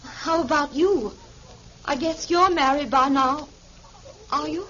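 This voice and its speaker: An actress playing a gentle, wistful young woman, polite and restrained, in an old-time radio drama. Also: sits high, takes it slowly, slight background noise. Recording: muffled vintage radio recording with music behind.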